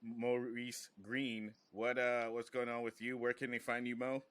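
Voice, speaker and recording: Bright-voiced man hosting a casual podcast. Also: mid-range voice, level tone, measured pace, no echo. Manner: informal, profane. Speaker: podcast host.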